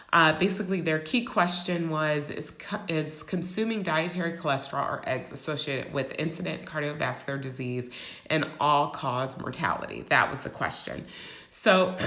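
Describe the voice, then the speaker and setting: A woman with a hoarse, raspy voice from a cold, hosting a casual talk and explaining a study with many fillers. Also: low voice, fairly bright tone. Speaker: host. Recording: echoey room.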